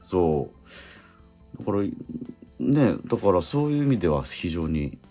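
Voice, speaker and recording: A middle-aged man talks casually as a radio host, his voice deep and rough-edged. His pitch swings up and down in a lively way as he thinks aloud in halting, reflective phrases. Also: faint music behind.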